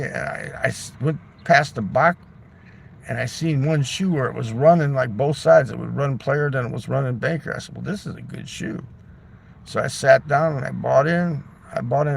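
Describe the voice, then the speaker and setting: A man's voice: mid-range voice, a little hiss or hum. A man telling a long casual story, loose and chatty, swearing freely and tossing in "right?" and "man". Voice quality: gravelly. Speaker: livestreamer.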